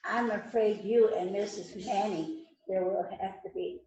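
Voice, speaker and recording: A woman's voice: medium pitch, fairly even intonation, unhurried, dark tone, natural voice. Woman playing methodical police detective, polite, mystery script reading, echoey, distant mic. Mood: methodical, questioning. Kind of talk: amateur readers theater rehearsal, script reading.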